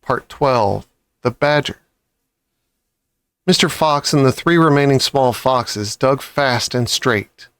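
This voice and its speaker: A man's voice: mid-range voice, slow pace, pauses often, fairly bright tone, clear, resonant tone, no room sound. A man narrates a children's storybook. His voice sits in the middle range for a man, and the recording is dry, with hardly any room sound. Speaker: audiobook reader.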